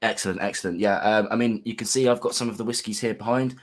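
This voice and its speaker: Gravelly-voiced man hosting a livestream. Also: fairly low pitch, steady tone, fast talker. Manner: friendly, many ums and restarts. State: gravelly sore throat. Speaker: host of whisky livestream. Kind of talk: casual livestream chat.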